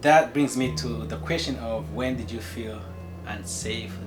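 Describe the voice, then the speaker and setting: A man from Rwanda with a Rwandan accent, earnestly giving a personal account at a meeting in a measured, halting way. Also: medium pitch, over music. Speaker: community meeting participant, city employee.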